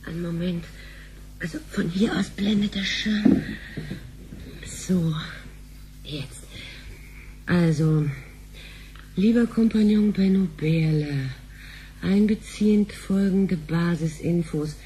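An actress playing a middle-aged, sharp-tongued wife and mother in a sci-fi radio play, brisk and sardonic. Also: on the low side, slight background noise, rough recording. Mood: impatient. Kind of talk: radio drama acting.

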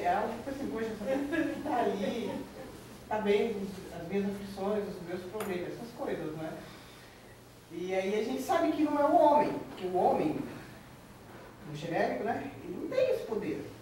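A woman's voice: medium pitch, animated, normal speed, warm tone, far from the mic, slight background noise. An older Brazilian woman from the audience, giving a hesitant, rambling comment full of fillers. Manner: hesitant, full of "tipo assim", laughs nervously. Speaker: audience member. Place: small room in a spiritist center. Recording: echoey room, picked up from afar.

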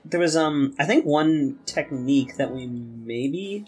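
A young man chatting casually and rambling, often halting and repeating his words. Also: a little high, lively intonation. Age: young adult. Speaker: podcast guest and game maker. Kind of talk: casual chat. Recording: music playing under the talk. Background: guitar music.

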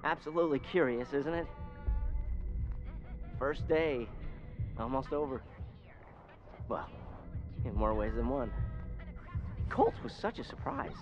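Pompous, wildly animated scientist. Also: male voice, mid-range voice. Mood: eager. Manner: pompous, theatrical, halting. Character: pompous, needy, wheedling male scientist, self-styled gentleman. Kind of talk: video game dialogue acting. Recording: slightly dull game audio under music.

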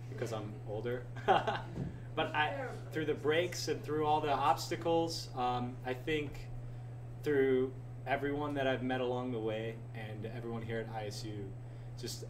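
A young man in his twenties hosting a live show, rambling and laughing often as he answers questions and thanks the crowd. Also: medium pitch. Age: 20s. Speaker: podcast host. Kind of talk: live show Q&A and farewell speech. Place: live show venue with audience. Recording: echoey room, loud background noise.

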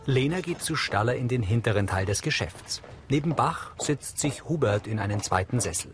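A man's voice: mid-range voice, lively intonation, moderate pace. A man reading scripted narration as the audio describer of a TV episode. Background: soundtrack music.

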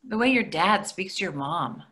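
A woman's voice: fairly low pitch, animated, moderate pace, bright, forceful tone. An older woman reading the part of a practical, exasperated wife in a stage play, dry and matter-of-fact. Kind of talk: readers theatre play reading. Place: plain room indoors, video call.

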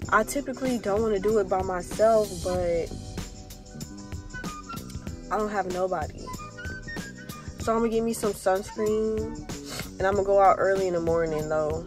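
A woman's voice: mid-range voice, moderate pace, pauses often, over music. A young woman in her twenties chatting casually to a phone camera from inside a car, thinking aloud about her plans. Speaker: vlogger. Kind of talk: casual vlog chat. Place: parked car. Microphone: phone camera at arm's length.

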